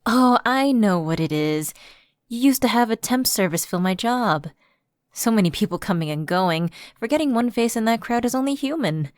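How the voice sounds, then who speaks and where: A woman's voice: fairly high pitch, animated, normal speed, no room sound, studio quality, natural voice. A woman playing a personal assistant who is secretly a fallen angel, evasive and coaxing, in a conversational ASMR roleplay. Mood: nervously breezy.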